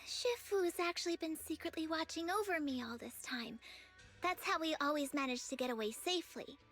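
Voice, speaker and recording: A woman's voice: sits high, animated, moderate pace, fairly bright tone, soft background music. A woman voicing an earnest, righteous young tiger girl disciple in an anime-style game. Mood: flustered, bashful. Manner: earnest, declarative, heroic.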